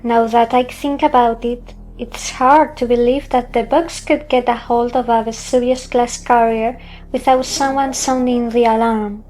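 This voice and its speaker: A woman's voice: on the high side, steady tone, measured pace, darkish tone, soft background music, natural voice. A woman playing a blunt, analytical fighter pilot in a sci-fi audio drama. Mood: thoughtful.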